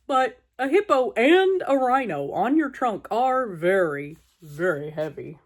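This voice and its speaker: A woman voicing an earnest male elephant in a storybook, telling his woes in a deliberate, drawn-out, dramatic way. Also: a little high, big pitch swings. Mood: grave, dramatic.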